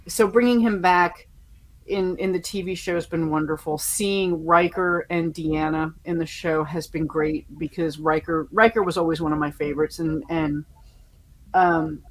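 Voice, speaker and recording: A woman chatting casually as a podcast panelist, giving fan opinions in a halting, conversational way. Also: lowish voice, rough recording.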